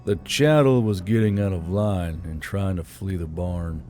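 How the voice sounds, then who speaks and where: A male narrator plays a worn-out fantasy war commander, grim and sardonic. The voice is dark and sinks low at the ends of his short, terse lines, over faint music. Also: measured pace. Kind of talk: audiobook character voice. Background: soft background music.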